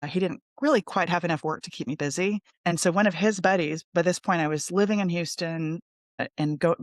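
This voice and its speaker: A woman's voice: on the low side, fairly quick, no room sound. Podcast guest: American woman, middle-aged, conversational storyteller, candid and informal, dry close recording. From Texas, United States. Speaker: podcast guest, lawyer and coach.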